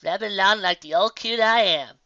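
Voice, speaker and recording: A woman's voice: medium pitch, normal speed, fairly bright tone, dry recording, lo-fi sound, lightly acted. A woman voicing a chatty old country woman from a storybook, folksy and rambling. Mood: warm, rambling. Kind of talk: storybook character voice.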